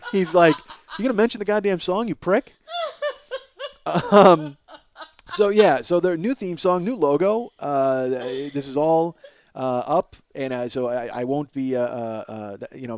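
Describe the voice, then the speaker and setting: A man's voice: fairly high pitch, darkish tone, a little hiss or hum. A man hosting a podcast in a casual comedic monologue, rambling, cutting himself off, swearing and mocking himself. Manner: rambling, self-interrupting, profane; self-mocking. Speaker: podcast host.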